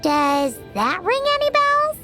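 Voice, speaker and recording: Tiny bubbly game fairy sidekick: extremely high squeaky childlike voice, wildly swooping delivery, music underneath. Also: female voice, big pitch swings, takes it slowly, exaggerated voice. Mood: eager, explaining. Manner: exclamatory, refers to self in third person. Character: tiny excitable childlike floating fairy companion, cheerful, bubbly. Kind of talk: video game voice acting.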